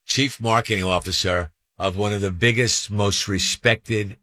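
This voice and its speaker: A middle-aged man hosting an interview, asking quick, upbeat questions. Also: on the low side, fairly even intonation, bright, forceful tone, no room sound. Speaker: interview host. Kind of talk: podcast interview.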